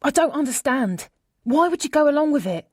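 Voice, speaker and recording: A woman's voice: high-pitched, expressive, brisk, no echo, studio quality, voice pushed up. A woman voicing a distraught, incredulous female friend who speaks bluntly and emotionally in an audiobook. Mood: bewildered.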